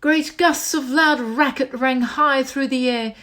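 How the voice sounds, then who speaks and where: Lady reading a kids book out loud, high voice, nice and slow, expressive. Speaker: storybook reader. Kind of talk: children's story read aloud, expressive.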